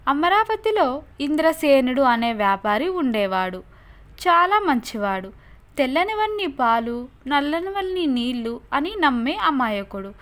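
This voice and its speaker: A woman narrating moral folk stories for a cartoon channel. Her delivery is slow and deliberate, and her voice sits high. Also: a bit bright. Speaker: storyteller. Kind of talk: scripted story narration.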